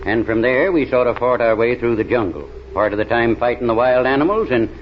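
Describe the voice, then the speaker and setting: A man's voice: mid-range voice, a little fast, natural voice. Folksy backwoods adventurer played by a man, drawl, plain-spoken yarn-spinner, dull hissy vintage radio. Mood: recounting adventures. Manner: drawling, folksy, ungrammatical speech. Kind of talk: 1930s radio serial acting. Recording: dull, noisy old radio recording.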